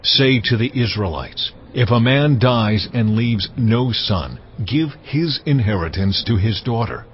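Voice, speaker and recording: An actor playing God as a solemn lawgiver, measured and commanding, in a dramatised scripture reading. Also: male voice, mid-range voice, expressive, a little hiss or hum, natural voice. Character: God, solemn male lawgiver speaking to Moses. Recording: slightly muffled, music underneath. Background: background music.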